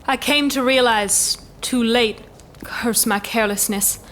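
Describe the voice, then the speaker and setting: Actress as grave, calculating elf noblewoman, high voice, theatrical fantasy-drama oratory, noisy ambience behind. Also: unhurried, close to an everyday voice. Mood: solemn, mournful. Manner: formal, stately, theatrical oratory. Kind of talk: fantasy audio drama acting.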